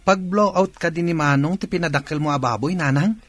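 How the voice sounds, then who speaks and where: A man's voice: a little high, a little fast, a bit bright, faint music behind. A man playing an eager, cheeky teenage son in Ilocano radio drama, with a Filipino accent. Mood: cheeky, protesting.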